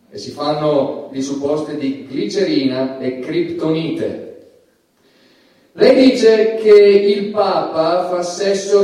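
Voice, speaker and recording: A formal, stern male judge with a slightly high voice. He admonishes in deliberate, official phrases, in stage courtroom style. The recording is very reverberant and distant from the microphone. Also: dark tone. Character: stern, formal male judge presiding over obscenity trial. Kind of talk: theatrical courtroom stage acting in Italian. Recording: echoey theatre hall, distant microphone.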